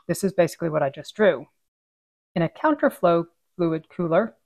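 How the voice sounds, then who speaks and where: A woman in her thirties explains technical equipment in a clear, steady teaching voice. Her pitch sits a little low for a woman, and the close, dry recording has almost no room sound. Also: dry recording. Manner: clear, steady instructional delivery. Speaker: HVAC training presenter. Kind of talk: scripted technical explainer over drawings.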